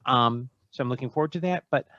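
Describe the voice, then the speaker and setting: A man's voice: mid-range voice, fast talker, bright, forceful tone, dry recording. Guy on a panel, dull lo-fi mic with no room sound, kinda hesitant. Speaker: panelist, pulp writer and meetup organizer. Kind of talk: casual self-introduction on a panel. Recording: dry, dull lo-fi microphone sound.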